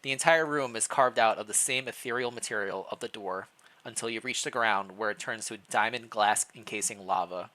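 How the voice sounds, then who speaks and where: A man's voice: lowish voice, fairly quick, sharp tone. A man narrating fan fiction expressively as a streamer, mixed with casual chat. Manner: stumbles over words while reading aloud, self-deprecating.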